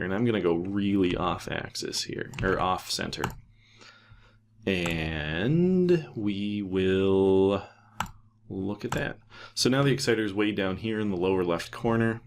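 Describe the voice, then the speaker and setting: A man's voice: sits low, gravelly, slight background noise. A man giving an unscripted technical tutorial, thinking aloud and explaining in a hesitant way. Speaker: tutorial presenter.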